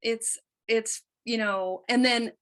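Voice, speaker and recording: A woman's voice: on the high side, fairly even intonation, slow, a bit bright. A middle-aged woman talking as a podcast guest in a casual conversation, chatty and candid, often trailing off mid-thought. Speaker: guest, quilt artist.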